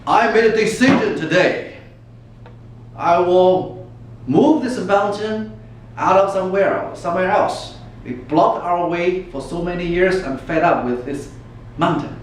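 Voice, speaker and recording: A man acts out a resolute village grandfather of eighty-seven from a folk tale, stubborn and deliberate. The voice is raised high and declaims from far off in a very echoey room. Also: a little hiss or hum. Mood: fed up, resolute. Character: stubborn, determined 87-year-old Chinese village grandfather.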